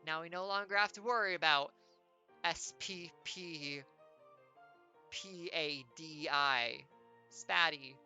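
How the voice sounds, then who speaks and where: A woman's voice: low voice, steady tone, takes it slowly, pauses often, sharp tone, highs cut off, soft background music. A woman streamer reading a choose-your-own-adventure game aloud, with playful, wry jokey asides.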